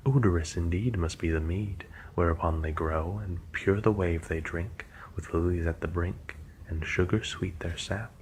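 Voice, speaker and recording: A man reading the lines of an eager, dreamy young woman, in a soft, partly whispered voice. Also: low voice, moderate pace, a little hiss or hum.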